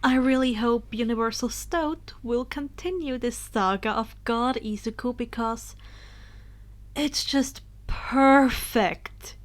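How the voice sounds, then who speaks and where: A woman narrating a story in a dramatic, expressive storybook style, with some phrases breathy and hushed. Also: a little high, takes it slowly. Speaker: fan fiction narrator.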